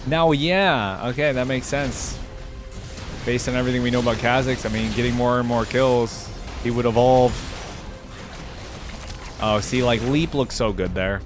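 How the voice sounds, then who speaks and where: Loud game music runs behind a man's voice. He gives informal reaction commentary as a video host, thinking aloud in a casual way. His pitch sits in the middle range for a man. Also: steady tone, normal speed, pauses often.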